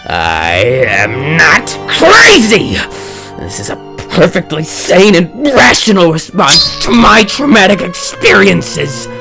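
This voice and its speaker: A menacing, vengeful villain from a comic dub, with a gravelly voice that swings widely in pitch. The delivery is theatrical and deliberate, like someone savoring fear, over a prominent orchestral score. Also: male voice, wildly swooping pitch, over music, full character voice, poor audio. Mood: manic, agitated. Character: bitter, menacing male supervillain, obsessed with fear and revenge. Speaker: voice actor. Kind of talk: dramatic comic dub narration and acting.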